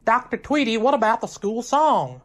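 A man's voice: very high-pitched, very animated, normal speed, faint music behind. An actor playing an easygoing but stubborn male college student in an old-time radio comedy. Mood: earnest.

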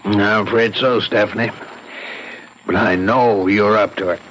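Low-voiced, smooth older company boss. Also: male voice, fairly even intonation, unhurried, fairly bright tone, slight background noise. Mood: firm, persuasive. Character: smooth, self-assured male company boss, older widower. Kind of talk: 1970s radio mystery acting. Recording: dull vintage radio broadcast with music.

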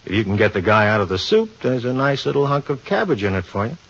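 An actor playing an easygoing, teasing police inspector in a 1950s radio drama, speaking in casual slang. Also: male voice, mid-range voice, very animated, fast, a bit bright. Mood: explaining, easygoing. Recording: muffled 1950s radio recording.

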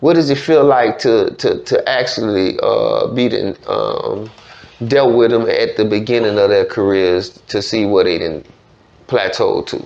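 A man's voice: lowish voice, measured pace, gravelly, a little mellow. A man hosting a casual interview in colloquial speech, often chiming in with agreement. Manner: colloquial, frequent affirming interjections. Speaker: podcast host. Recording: slightly dull studio sound.

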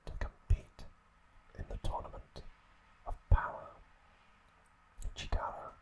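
Slow whispering man, ASMR. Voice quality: breathy whisper. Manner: slow, halting phrases with pauses. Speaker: ASMR host. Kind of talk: whispered ASMR storytelling recap. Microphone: close microphone.

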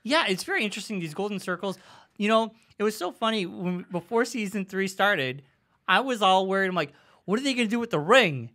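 A man co-hosts a fan podcast with a bright, sharp voice. His pitch moves in a lively way as he chats casually and excitedly. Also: a little fast. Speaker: podcast co-host.

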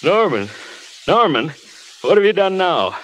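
A groggy, exasperated studio boss in an old radio drama. His highish voice swings widely as he grunts with weary sarcasm over a crackly, noisy recording. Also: big pitch swings, a little slow, a bit bright, full character voice. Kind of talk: 1930s radio acting.

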